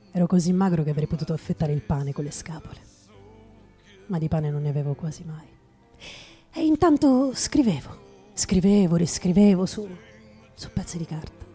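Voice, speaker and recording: A woman acting a bitter, hard-drinking, starving writer in a theatrical radio monologue, brooding and halting. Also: on the low side, lively intonation, dark tone, breathy edge, faint music behind. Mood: bitter, reflective.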